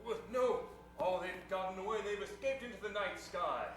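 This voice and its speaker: An actor playing a pompous, earnest hat-powered superhero in a grandiose improvised old-time radio style. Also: male voice, high-pitched, brisk, a bit bright, soft background music. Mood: earnest heroic. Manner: grandiose, heroic. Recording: echoey stage, far from mic.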